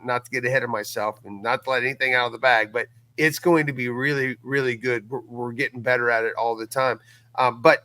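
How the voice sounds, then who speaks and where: Bright-voiced, folksy middle-aged man. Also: mid-range voice, dry recording. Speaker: podcast guest and audio series creator. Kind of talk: casual conversational podcast talk.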